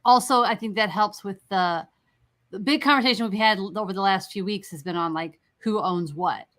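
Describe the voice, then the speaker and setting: A woman's voice: mid-range voice, moderate pace, a bit bright. A woman hosting a show in a casual, conversational interview style. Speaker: show host.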